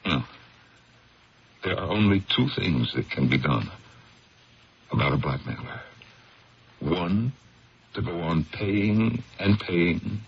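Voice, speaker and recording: Very deep-voiced troubled husband. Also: male voice, vocal fry, exaggerated voice. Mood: anguished, desperate. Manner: halting, hesitant, confessional. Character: troubled married lawyer, ashamed, burdened by a secret. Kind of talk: 1970s radio drama acting. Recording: dull, hissy old radio broadcast.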